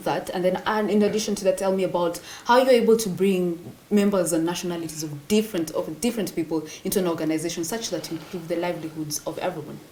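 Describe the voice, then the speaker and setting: A woman interviewing guests for a TV program, asking probing questions in a measured way. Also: on the low side, fairly even intonation, mellow. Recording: roomy studio echo with faint noise.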